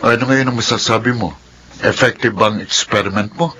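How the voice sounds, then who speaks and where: A man's voice: on the low side, brisk, bright, forceful tone, slight background noise. An actor playing a young Filipino man, a playfully smug younger brother who probes in a mock-formal way in a radio drama. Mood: probing.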